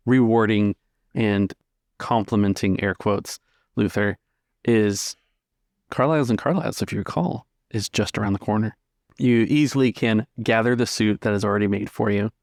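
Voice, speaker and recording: A man with a lowish voice serves as game master. He narrates an improvised tabletop story in a dry, close recording. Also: creaky, darkish tone, dry recording.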